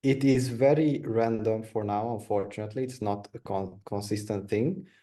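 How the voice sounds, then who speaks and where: A man's voice: on the low side, fairly even intonation, moderate pace, dark tone. A young man from Romania, answering interview questions on a video call, a bit hesitant and repeating words. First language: Hungarian. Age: young adult. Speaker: guest, video editor.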